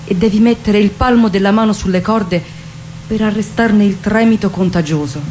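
A woman's voice: mid-range voice, normal speed, a little mellow, slight background noise. A woman delivering a poetic dramatic monologue.

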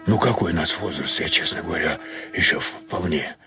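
A man voicing a hesitant, easily flustered middle-aged caretaker in a radio story reading. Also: deep voice. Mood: self-assured. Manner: halting, hesitant phrasing.

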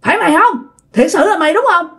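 A woman storyteller plays a frightened uncle in a ghost story, his voice pitched very high and swinging widely. He is desperate and badly shaken, saying the same short pleas over and over. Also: big pitch swings, fast, a bit bright, full character voice. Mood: frightened, suspicious. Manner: repeats pleas over and over in panic. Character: terrified uncle shaken by a ghost, pleading for rescue.

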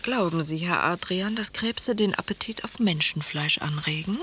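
An actress playing a coy, teasing, sensual beauty in a literary radio play, formally spoken, on a slightly hissy recording. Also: female voice, on the low side, moderate pace, pushed and bright.

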